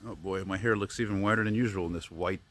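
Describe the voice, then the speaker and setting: An older man with a deep baritone voice, chatting as he vlogs on a walk through snowy city streets. He meanders and mutters, with mild swearing and self-deprecating asides. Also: fairly even intonation, quick pace, fairly bright tone, dry recording. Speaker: vlogger. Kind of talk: rambling walking vlog. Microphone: phone on a selfie stick.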